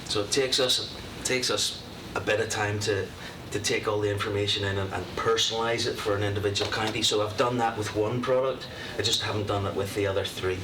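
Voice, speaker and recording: Northern Irish man, lowish voice, easygoing presenter, picked up from far off with noise behind. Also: fairly quick, few pauses. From Northern Ireland. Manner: relaxed, conversational, with filler words. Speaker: software presenter. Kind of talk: presenting at a public meeting. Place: county committee meeting room. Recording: roomy hall, distant mic, steady noise.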